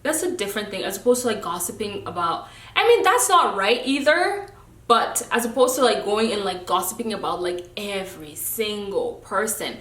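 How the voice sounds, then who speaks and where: A woman's voice: medium pitch, expressive, moderate pace, fairly bright tone. A young woman vlogger giving a candid, emphatic talk about friendships, sometimes preachy and breaking into laughter. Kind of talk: candid casual rant to camera. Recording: echoey room.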